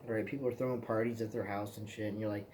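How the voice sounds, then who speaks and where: A man's voice: lowish voice, steady tone, fast talker, darkish tone, slight background noise. A man in his twenties, hosting his own vlog in a loose, profane, meandering casual chat. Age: 20s. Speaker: vlog host.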